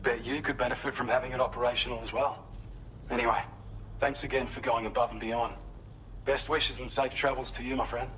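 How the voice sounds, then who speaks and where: An actor playing an earnest, helpful male researcher in a video game, giving a polite, measured, explanatory briefing. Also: medium pitch, flat delivery, a bit bright, a little hiss or hum, natural voice, rough recording. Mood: earnest, businesslike.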